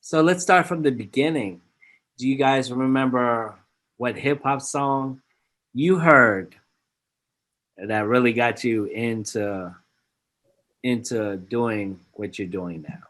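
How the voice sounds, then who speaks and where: A man's voice: mid-range voice, takes it slowly, long pauses, fairly bright tone. A man hosting a casual interview over a video call, greeting guests with hyped slang. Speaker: host.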